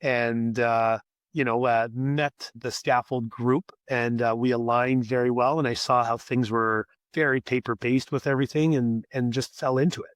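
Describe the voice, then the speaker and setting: A Canadian man being interviewed on a podcast, raspy as he loses his voice, thinking aloud with repeated words. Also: medium pitch, dry recording. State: losing his voice. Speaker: podcast guest, scaffolding company executive. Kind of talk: conversational interview.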